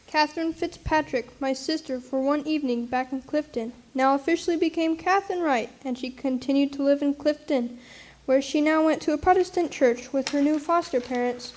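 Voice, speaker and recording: A woman's voice: high voice, normal speed, a little mellow, natural voice. A woman playing a wistful orphan recalling childhood in a radio drama, on a noisy live recording.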